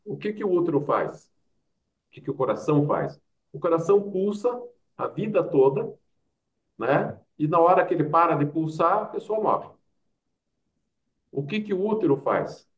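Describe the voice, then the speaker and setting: Brazilian man explaining things, slightly high voice. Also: expressive, moderate pace, long pauses, distant mic, muffled recording. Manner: explains through parables, rhetorical questions and acted-out quotes. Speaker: interview guest, doctor and public health expert. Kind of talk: conversational interview, anecdotal storytelling.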